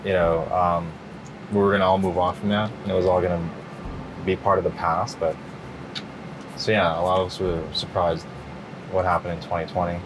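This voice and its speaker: A middle-aged man answering interview questions in a relaxed, rambling way, full of fillers and restarts. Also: sits low, brisk, long pauses, background noise. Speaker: interview guest. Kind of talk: casual interview.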